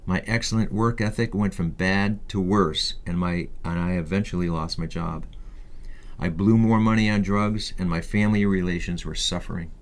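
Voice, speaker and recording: A man with a low-pitched voice narrates a personal memoir. He reads from a prepared script in the first person, as an audiobook narrator would. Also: fairly even intonation, moderate pace.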